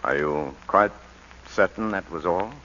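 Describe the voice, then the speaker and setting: An actor playing a calm, cultivated male attorney, in 1940s radio acting. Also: low-pitched, measured pace, a little hiss or hum. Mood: probing. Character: calm middle-aged male attorney, probing. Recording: muffled 1940s radio broadcast.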